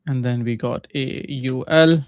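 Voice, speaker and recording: Male coding streamer, Pakistani accent, Urdu native. Clean, resonant mid-range voice, dry sound, informal halting think-aloud delivery. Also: medium pitch, fairly even intonation, no room sound. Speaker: livestreaming coder and mentor. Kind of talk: casual live coding commentary.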